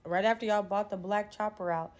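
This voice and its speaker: A woman's voice: mid-range voice, fairly even intonation, fairly quick, darkish tone. Emphatic, profane young woman. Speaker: social media commentator. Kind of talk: casual conspiracy commentary to camera. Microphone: phone held close, selfie framing.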